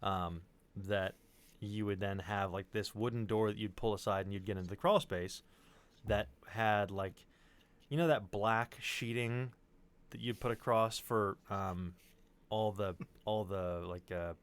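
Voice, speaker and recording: A man's voice: lowish voice, a little slow, smooth. A man chatting casually and telling personal stories in a rambling way, often correcting himself. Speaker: podcast cast member. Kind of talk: casual chat, personal storytelling.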